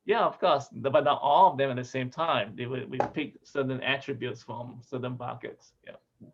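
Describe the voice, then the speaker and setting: A man from Malaysia, a food sensory scientist, giving a relaxed talk over a video call with restarts and chuckles. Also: medium pitch, moderate pace, gravelly, background noise. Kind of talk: casual video-call presentation. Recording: dull lo-fi video call audio.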